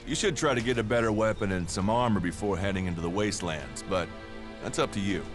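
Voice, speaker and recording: An actor playing a friendly, watchful warrior guide in a video game, advising in a plain-spoken way. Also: male voice, on the low side, quick pace, music in the background, natural voice. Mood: advising, cautionary.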